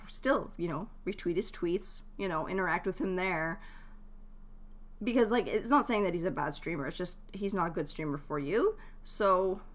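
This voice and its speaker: A woman chatting casually and giving advice to viewers as a live game streamer, in a chatty, informal way. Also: on the low side.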